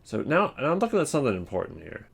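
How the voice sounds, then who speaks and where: A man giving casual, opinionated video commentary on game voice lines, thinking aloud in halting, rambling sentences. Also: medium pitch, huge pitch swings, vocal fry, a bit bright, husky. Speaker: video host.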